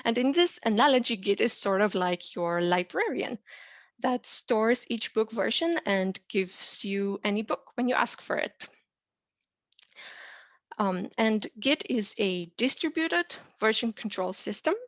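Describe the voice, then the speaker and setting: A young adult woman from Romania, a software engineer, presents a tutorial in a clear, explanatory way with frequent "uh" and "um" fillers. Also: on the high side, lively intonation, unhurried, no room sound. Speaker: software engineer teaching a lesson.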